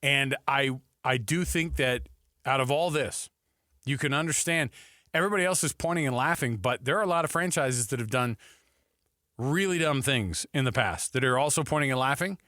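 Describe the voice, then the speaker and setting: A male sports radio host chatting casually on air. His voice is forceful and bright, recorded very dry and close. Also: medium pitch, moderate pace, no echo.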